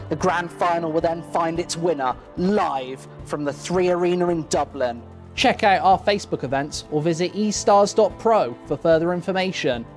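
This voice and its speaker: A man reading a scripted promotional voice-over. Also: a little high. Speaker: promo narrator.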